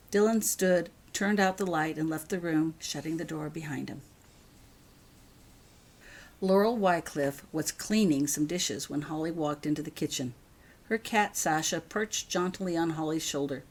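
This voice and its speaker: Female narrator, low and husky, for author-read fiction audiobook. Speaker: author reading own fan fiction.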